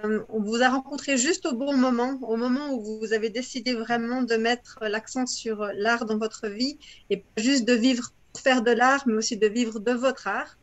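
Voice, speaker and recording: A woman with a fairly high voice conducts an interview in a conversational style. Her manner is welcoming and appreciative towards her guest. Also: fairly even intonation, moderate pace. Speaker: interviewer, co-host.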